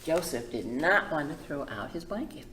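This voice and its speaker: A woman reading a picture book aloud to children as a library storyteller, expressive and emphatic, with chatty asides. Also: low voice, moderate pace, echoey room, slight background noise.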